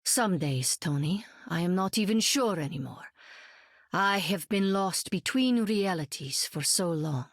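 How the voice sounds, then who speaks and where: A woman's voice: fairly low pitch, animated, measured pace, no echo, clean studio sound. A woman voicing a weary, haunted sorceress in a video game, solemn and wistful. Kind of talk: video game character acting.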